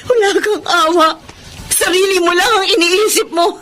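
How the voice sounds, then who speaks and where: Very high-voiced devoted young wife. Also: female voice, normal speed, background noise. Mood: indignant. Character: devoted, long-suffering young wife defending her mother. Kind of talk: Tagalog radio melodrama acting.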